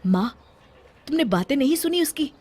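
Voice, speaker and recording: A woman voicing a hot-tempered, exasperated adult son and husband in a family story, scolding in a sharp, loud manner. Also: sits high, expressive, normal speed, slight background noise, voice pushed up. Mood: furious.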